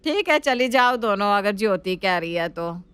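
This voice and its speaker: A woman's voice: medium pitch, lively intonation, moderate pace, a bit bright, clear, resonant tone, lightly acted. A woman playing an affectionate, effusive older mother-in-law in a family story. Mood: casual concern. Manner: homely, effusive.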